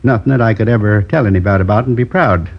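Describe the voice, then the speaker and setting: An actor playing a lonely man in his seventies who confesses to a robbery in a slow, rambling, wistful way, in 1950s radio drama acting. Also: lowish voice. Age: 70s. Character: lonely old male drifter turned robber. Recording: dull old radio broadcast.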